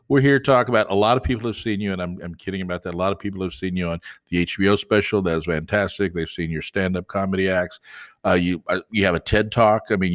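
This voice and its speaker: A man with a lowish voice hosting a podcast interview. He teases his guest, laughs easily and often stops and restarts his sentences. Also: a bit bright. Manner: teasing, laughing, halting restarts. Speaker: podcast host.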